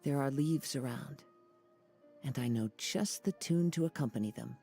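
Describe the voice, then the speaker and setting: Guy voicing a gentle wandering swordsman for a game, soft mellow tone w some gravel, wistful n poetic, faint music. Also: medium pitch, natural voice. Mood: wistful, calm. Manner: poetic, measured, reflective. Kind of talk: scripted game character voice lines.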